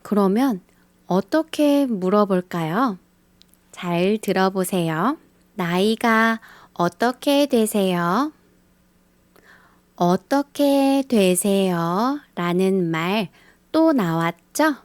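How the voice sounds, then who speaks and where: Very slow, careful woman teacher. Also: medium pitch, expressive, no room sound. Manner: very slow, careful, repeats example phrases. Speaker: Korean teacher. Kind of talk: slow scripted beginner lesson.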